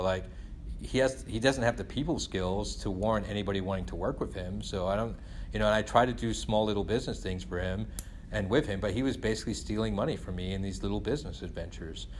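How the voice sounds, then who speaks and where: A man's voice: on the low side, slight background noise. A middle-aged man from England talking casually in a rambling way, leaning often on "you know" and "like". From born in England to Guyanese parents of Indian descent. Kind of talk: casual conversational venting.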